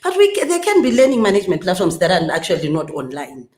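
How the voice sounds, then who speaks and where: A woman's voice: on the low side, wildly swooping pitch, fast, darkish tone. Woman, panel guest, earnest with many restarts; echoey room. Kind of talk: discussion panel.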